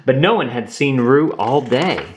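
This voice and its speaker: Male read-aloud narrator for kids, unhurried and highly expressive. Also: a little hiss or hum. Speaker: storybook reader. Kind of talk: reading a children's book aloud.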